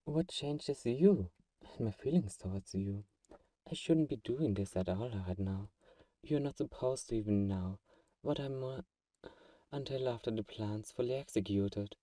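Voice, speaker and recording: A man playing a teasing, possessive vampire who is friends with a hunter, in an intimate ASMR audio roleplay with sighs and soft chuckles. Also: medium pitch, unhurried, no echo, recorded from a distance, natural voice. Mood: confessional, wry.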